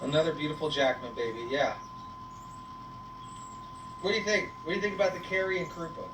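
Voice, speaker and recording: A woman's voice: low-pitched, brisk. A woman hosting a casual livestream on a goat farm, playfully teasing and chatting to viewers and animals. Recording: echoey, noisy farm livestream, distant mic.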